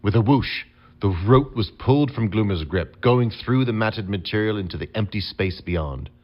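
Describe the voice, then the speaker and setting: A man with a very low voice narrates a fantasy audio adventure game. He tells it in a measured, dramatic storybook style. The recording sounds slightly dull and muffled. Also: expressive.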